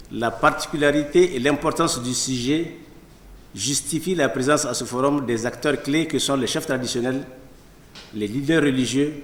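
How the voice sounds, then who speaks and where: A man's voice: medium pitch, fairly bright tone. A man from Niger, reading a formal prepared speech as an association official. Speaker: association vice-president, traditional chief. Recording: echoey room.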